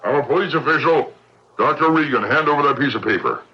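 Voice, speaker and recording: An actor playing a watchful, authoritative police official in an old-time radio mystery. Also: male voice, on the high side, quick pace, pushed and bright. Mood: commanding. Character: watchful, commanding police official lying in wait. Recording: muffled, very noisy old radio recording.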